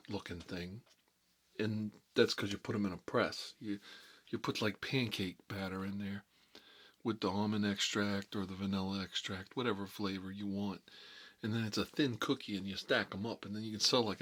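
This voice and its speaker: Man playing a rambling low-voiced mob boss. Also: fairly bright tone. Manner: rambling, repetitive, colloquial, easily sidetracked. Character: rambling, hot-headed, nostalgic male mob boss. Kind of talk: improvised comic character monologue.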